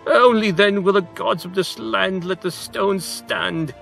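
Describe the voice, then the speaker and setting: A man's voice: high voice, a little slow, vocal fry, fairly bright tone, soft background music, voice pushed up, heavily acted. A man voicing a sly, nervous old magician in a storybook, hesitant and scheming. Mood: sly, ominous.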